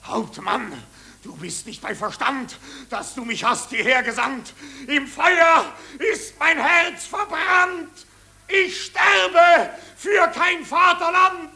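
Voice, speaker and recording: An actor playing a defiant dying soldier in a radio drama, declaiming verse in a strained, impassioned manner. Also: male voice, very high-pitched, slow pace, creaky, exaggerated voice. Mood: shouting defiance. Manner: strained, declamatory, rising to shouts.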